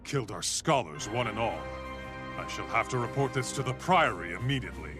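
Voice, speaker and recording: An actor playing a grave scholar of a learned order in a fantasy video game, speaking formally and solemnly. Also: male voice, lowish voice, animated, fairly quick, close to an everyday voice. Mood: somber. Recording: game music behind the voice.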